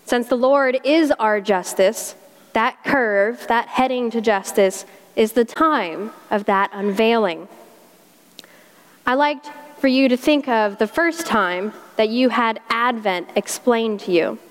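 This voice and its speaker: A woman's voice: fairly high pitch, takes it slowly. A woman with a South Carolina accent preaching a sermon in a folksy, conversational way, using homey Southern expressions. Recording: long hall reverb.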